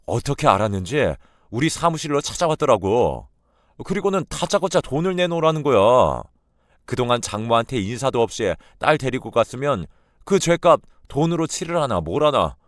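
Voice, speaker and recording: A man's voice: mid-range voice, sing-song, moderate pace, dry recording, studio quality, pitched above the performer's own voice, natural voice. A man voicing an indignant husband in a read-aloud story. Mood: enraged. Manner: loud, indignant. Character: gentle husband in a rage.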